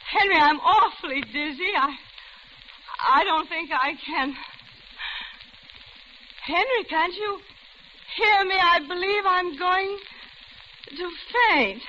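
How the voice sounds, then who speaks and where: An actress playing a talkative, genteel, uneasy older widow in the style of 1940s radio drama. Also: female voice, very high voice, slow pace, pauses often, sharp tone, heavily acted. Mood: faint, frightened. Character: chatty, uneasy older widow, diner customer. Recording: noisy, dull vintage radio recording.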